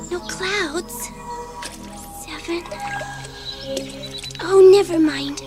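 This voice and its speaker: Very high-pitched, daring schoolgirl. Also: exaggerated voice. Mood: jumpy, muttering. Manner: eager, coaxing, expressive. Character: bored, daring, impulsive schoolgirl with a taste for mischief. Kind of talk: dramatised children's audiobook acting.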